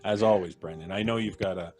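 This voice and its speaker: A man's voice: sits low, fast talker, darkish tone. A man hosting a live-streamed show, chatting casually and jokingly as he introduces his guests. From Canada. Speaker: host. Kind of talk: casual livestream chat.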